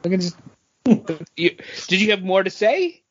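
A man's voice: high-pitched, big pitch swings, moderate pace, a bit bright, slight background noise. A man chatting casually as a podcast co-host. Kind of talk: casual chat. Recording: dull, lo-fi podcast audio.

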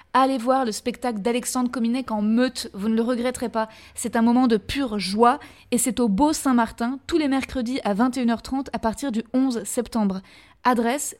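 A woman hosting a podcast, firing off teasing either-or questions and reading a brisk, upbeat sign-off. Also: a little high, a little mellow. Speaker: podcast host. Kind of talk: quickfire questions, then scripted outro.

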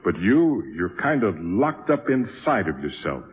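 An actor playing a weary, middle-aged bandleader, fatherly and regretful, speaking gravely and plainly in a 1950s radio drama. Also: lowish voice, sing-song, unhurried. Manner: grave, plain-spoken, philosophical. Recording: tinny old radio broadcast, faint music.

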